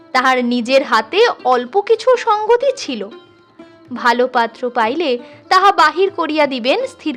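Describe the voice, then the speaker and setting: A woman reading a classic short story as a storyteller, in an expressive, measured and dramatic scripted narration. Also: sits high. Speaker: storyteller reading literary fiction.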